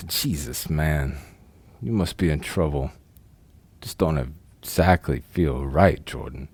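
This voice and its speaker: A man playing a wary, gruff retired crook who is suspicious of an old partner, in an audio drama. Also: very deep voice, takes it slowly, darkish tone, no echo, full character voice. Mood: weary. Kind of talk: amateur audio drama acting.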